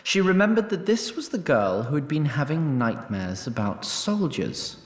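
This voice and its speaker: A man's voice: fairly high pitch, sing-song, normal speed, roomy sound. A man narrating a scripted fantasy story in a wry, literary manner.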